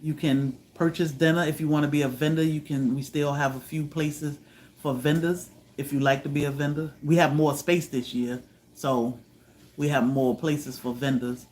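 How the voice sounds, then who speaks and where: A man from Virginia, hosting a homestead livestream, chatting with viewers in a relaxed way, often letting his voice trail off softly. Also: on the high side, normal speed, a little hiss or hum. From Virginia, USA. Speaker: homestead channel host. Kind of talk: livestream chat answering viewers.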